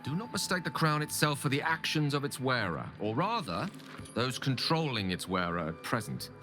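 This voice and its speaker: A learned male wizard with a hunger for godlike power, in a fantasy video game. His voice is bright and forceful, with lively swings of pitch. He phrases things grandly and pauses for drama, over faint music. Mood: ambitious, persuasive. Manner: theatrical, erudite, measured phrasing with dramatic pauses. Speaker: game character, wizard companion.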